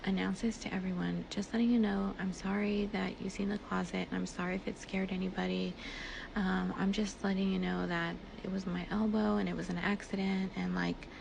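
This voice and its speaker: A woman with a fairly low voice and a lot of vocal fry tells a personal story casually and emphatically. Her delivery stays fairly even in pitch. There is clear noise in the recording.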